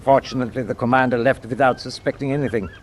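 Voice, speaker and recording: An actor playing an impatient, evasive German tanker captain in a wartime radio drama, brusque and used to giving orders. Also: male voice, medium pitch, steady tone, fast talker, lightly acted. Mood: indignant, resolute. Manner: brusque, commanding, insistent. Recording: noisy audio with ship ambience behind.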